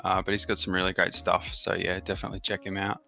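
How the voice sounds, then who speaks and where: A man's voice: sits low, completely flat delivery, quick pace, vocal fry, sharp tone, faint music behind. A man with an Australian accent hosting a strategy game session, explaining settings and bonuses in detail with frequent fillers. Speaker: gaming channel host. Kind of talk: casual gameplay commentary.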